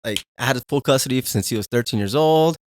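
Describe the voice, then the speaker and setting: A man's voice: mid-range voice, fast, darkish tone, slight background noise. Laid-back candid man in his thirties. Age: 30s. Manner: laid-back, candid, swears when riled. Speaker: podcast guest. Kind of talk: casual conversation.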